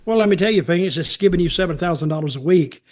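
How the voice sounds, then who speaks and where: A man's voice: high-pitched, expressive, quick pace, darkish tone. A man co-hosting a podcast, giving casual opinions in a blunt, sarcastic, folksy way. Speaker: podcast co-host, wrestling commentator.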